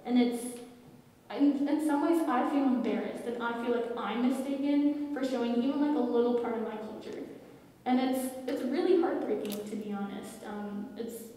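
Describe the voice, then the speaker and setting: A teenage girl from Tennessee speaking earnestly on a student panel, halting now and then with fillers. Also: a little high, dark tone, slight background noise. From Tennessee, USA. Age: teens. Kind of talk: personal testimony on a panel. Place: large school hall. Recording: echoey hall, far from the mic.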